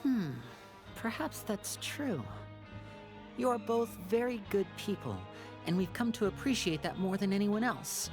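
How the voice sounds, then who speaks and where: An actor playing a composed, watchful young man in a video game, speaking politely and deliberately, with music behind. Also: high voice. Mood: courteous, earnest. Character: composed, courteous, watchful young man, protective older brother.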